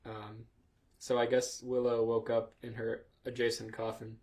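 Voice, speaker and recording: A man's voice: medium pitch, monotone, a little slow, a little mellow. A man hosting a comedy podcast, reading fan fiction aloud and breaking off for joking, casual asides.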